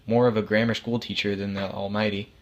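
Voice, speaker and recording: A man's voice: lowish voice, level tone, a little fast, dull audio, slight background noise. A man reading a short story aloud as its narrator, acting out the dialogue between the characters. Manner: acts out both sides of dialogue.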